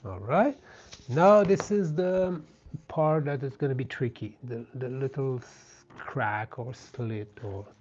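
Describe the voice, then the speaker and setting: A man with a French Canadian accent, a native French speaker, chatting gently and casually as he hosts a live craft stream. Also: mid-range voice, slow pace, slight background noise. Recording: dull, lo-fi sound.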